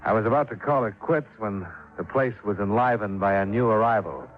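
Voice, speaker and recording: An actor playing a terse, unflappable insurance investigator with an American accent, clipped and dry, in hard-boiled old radio style. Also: male voice, lowish voice, animated, fairly quick, natural voice. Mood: dry narration. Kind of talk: hard-boiled 1950s radio drama acting and narration. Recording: muffled old radio recording, music behind.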